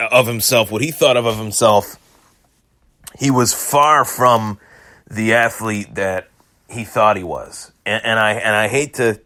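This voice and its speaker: A man hosting a solo sports podcast, hoarse and a bit hungover, rambling through fight reactions with many fillers and restarts. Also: lowish voice, bright, forceful tone, no room sound. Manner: rambling, repeats himself, frequent fillers. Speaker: podcast host.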